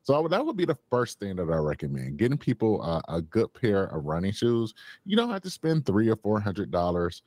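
A man with a very low, deep voice speaks as a radio guest into a close studio mic. He talks with enthusiasm and laughs easily. The sound is dry, with no room echo. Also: wildly swooping pitch, fairly quick, a little mellow. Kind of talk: radio interview.

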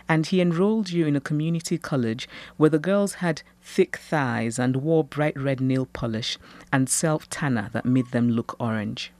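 A woman from Nigeria with a Nigerian accent, a novelist who reads her fiction aloud and answers interview questions in a measured, thoughtful way, laughing easily. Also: a bass voice, darkish tone. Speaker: novelist, guest.

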